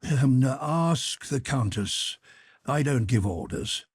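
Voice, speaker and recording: A harried old count, as a male reader puts him on, blustering and exasperated, his voice swinging widely in pitch. It sounds husky, close and dry. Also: animated, slow, no room sound. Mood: hesitant. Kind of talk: audiobook character voice.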